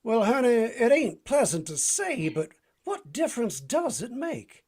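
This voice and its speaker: A man's voice: extremely high pitch, very animated, measured pace, darkish tone, slightly rough, heavily acted. A man playing a folksy, slangy American sidekick in a 1940s-style radio drama. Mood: puzzled, wry. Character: folksy, eager tough-guy sidekick called Doc.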